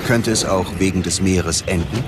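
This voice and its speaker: A man reading scripted documentary narration in a measured, dramatic manner. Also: sits low, heavy background noise. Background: background music.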